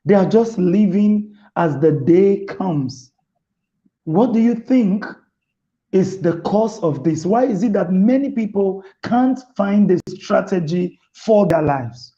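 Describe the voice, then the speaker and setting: A man hosting a live motivational talk show, speaking slowly and deliberately. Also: high-pitched, animated, dark tone, muffled recording. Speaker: host.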